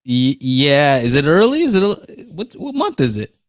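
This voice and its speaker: A man's voice: on the high side, very animated, moderate pace, no echo, studio quality. A man hosting a live call-in podcast, chatting casually and laughing easily with callers. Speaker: podcast host.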